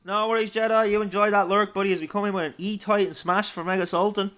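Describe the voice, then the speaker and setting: Irish man commentating games, higher-pitched voice. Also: steady tone, fast, fairly bright tone. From Ireland. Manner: chatty, addresses viewers. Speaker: livestream host and esports caster. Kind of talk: live game commentary.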